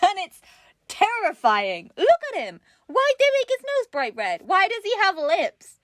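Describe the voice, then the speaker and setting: A woman's voice: very high-pitched, huge pitch swings, a little slow, a bit bright, dry recording, studio quality. A woman hosting a casual comedic craft chat, giggly and playfully mocking as she talks. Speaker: craft show host.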